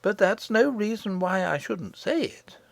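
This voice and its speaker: A woman voicing a kindly, dignified old great-great-grandmother queen in a storybook audiobook, gentle, gracious and composed. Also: medium pitch, lively intonation, measured pace, vocal fry, no room sound, pitched above the performer's own voice. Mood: kindly, patient.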